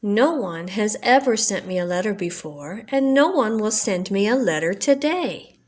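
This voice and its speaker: A woman's voice: medium pitch, expressive, moderate pace, darkish tone, close to an everyday voice. A woman voicing a gloomy, grumbling male toad in a children's storybook, plaintive and stubborn. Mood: resigned. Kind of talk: children's storybook read-aloud.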